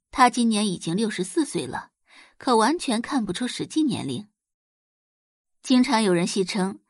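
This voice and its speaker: Woman, audiobook narrator, scripted storytelling, animated pitch, dry studio recording. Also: slightly rough, no echo.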